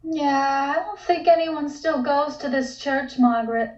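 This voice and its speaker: A woman's voice: high-pitched, measured pace. A woman playing an uneasy, tentative woman in a comic table read. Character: uneasy woman, softly apprehensive. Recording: roomy, dull home microphone.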